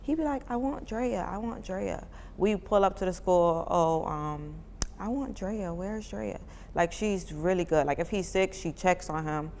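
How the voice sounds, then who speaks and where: Low-voiced young woman in a reverberant room. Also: unhurried, slight background noise. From Miami. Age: 20s. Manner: chatty, many "like" fillers, relaxed informal speech. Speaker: parent giving a testimonial. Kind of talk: casual interview answers.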